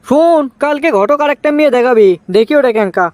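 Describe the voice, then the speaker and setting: A man's voice: extremely high pitch, expressive, quick pace, a little mellow, dry recording. A man voicing a village father who scolds and coaxes his grown son, in comic cartoon dialogue with a Bogura accent from northern Bangladesh. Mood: scolding. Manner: bossy, scolding, then coaxing.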